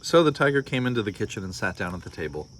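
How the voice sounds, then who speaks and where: American male storybook reader, fairly low voice, drily joking asides, slight noise behind. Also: big pitch swings, very fast. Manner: wry, joking commentary. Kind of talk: children's story read-aloud with wry casual asides.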